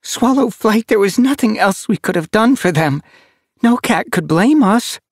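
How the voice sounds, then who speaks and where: A man voicing a sad, gentle female warrior cat in a storybook reading, speaking in a sorrowful, pleading way. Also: high voice, expressive, measured pace, no echo, studio quality, voice pushed up, exaggerated voice. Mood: consoling.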